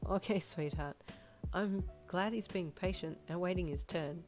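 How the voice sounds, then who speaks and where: Doting storybook dad, read by a woman: hushed, tender, coaxing, quietly amused, faint music behind. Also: lowish voice, unhurried, close to an everyday voice. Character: gentle, doting young father, quietly amused.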